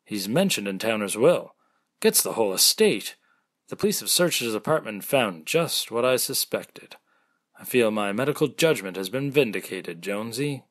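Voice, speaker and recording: Guy doing a serious radio drama doctor, lowish voice that goes up and down a lot, sure he's onto something. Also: animated, moderate pace. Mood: vindicated, assured. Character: earnest, suspicious male hospital doctor sure of his judgment. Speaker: actor in an amateur audio drama. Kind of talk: remake of classic radio mystery acting.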